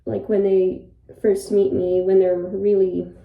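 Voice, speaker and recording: A woman's voice: medium pitch, level tone, slow, narrow, tinny audio. A young woman from Ireland whose first language is Polish, talking in a reflective, rambling way in a personal vlog. She is filming in a bathroom. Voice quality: creaky. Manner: reflective, rambling, soft-spoken. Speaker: vlogger. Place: bathroom, sitting by the bathtub. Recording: echoey bathroom, distant from the mic.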